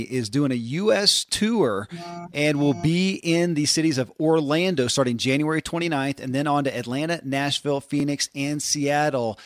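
Podcast host guy, slightly high voice, dead dry mic. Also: no room sound. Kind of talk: podcast interview.

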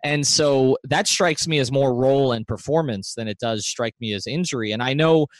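A man's voice: medium pitch, moderate pace, no room sound. A man hosting a sports podcast, giving conversational analysis in an emphatic way and repeating phrases for stress.

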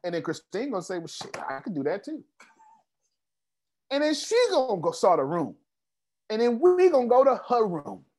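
Male business coach, bragging and preachy on a video call, high voice that swings a lot. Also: sing-song, moderate pace, pauses often, darkish tone. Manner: boastful, preachy, calls on attendees by name. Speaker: business coach hosting an online meeting. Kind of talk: animated motivational group call.

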